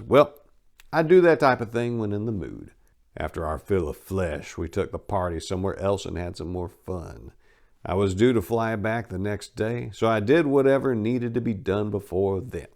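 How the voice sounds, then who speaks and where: A man's voice: fairly low pitch, a little mellow. A man giving a dramatic reading in a conversational, storytelling way with emphasis on key words. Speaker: host narrating a dramatic book reading. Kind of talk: dramatic first-person audiobook reading.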